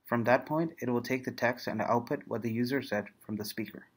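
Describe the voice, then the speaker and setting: A man's voice: medium pitch, fairly quick. A young man reading out a scripted slide presentation as a student presenter. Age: young adult.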